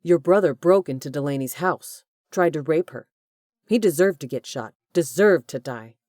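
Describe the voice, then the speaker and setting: A woman's voice: rumbling low, fairly even intonation, normal speed, a little mellow, husky, no echo, studio quality, heavily acted. A woman voicing a brave young man who speaks firmly and defiantly. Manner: firm and defiant. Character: brave young man protecting loved ones.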